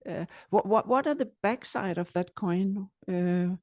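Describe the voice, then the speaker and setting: A woman's voice: medium pitch, a little slow, dry recording, muffled recording. A woman, a business psychologist co-hosting an interview, speaking in short listed phrases. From Denmark.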